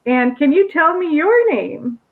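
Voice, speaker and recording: A woman's voice: high voice, big pitch swings, a little slow, creaky, narrow, tinny audio. An American woman, an ESL teacher, leading a live conversation lesson and coaching a student's pronunciation. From United States. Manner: encouraging, corrects pronunciation.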